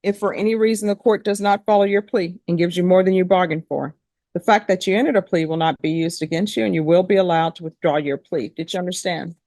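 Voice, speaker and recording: A woman's voice: lowish voice, fast talker, a little mellow, no room sound, clean studio sound. A woman judge questioning people formally and procedurally in a courtroom. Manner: procedural, spells out names. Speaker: judge presiding over a court hearing.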